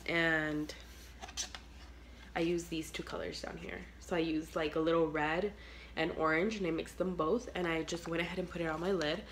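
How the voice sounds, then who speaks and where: Low-voiced, congested young woman vlogger. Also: steady tone, moderate pace, background noise. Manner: chatty, filler-heavy, trails off to near whispers. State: sick with a congested nose. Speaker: beauty vlogger. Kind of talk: casual makeup tutorial chat. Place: small bedroom at home. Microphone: phone camera at arm's length.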